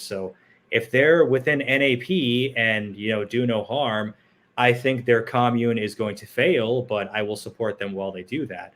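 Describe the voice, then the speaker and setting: A man's voice: on the low side, slight background noise. Blunt, opinionated man co-hosting a chat. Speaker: co-host. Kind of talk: casual livestream chat. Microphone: home setup over internet call.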